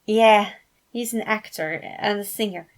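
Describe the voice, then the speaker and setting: A woman's voice: mid-range voice, a little slow, lightly acted. Woman playing a smitten, bashful man. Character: smitten, shy, nervous young man.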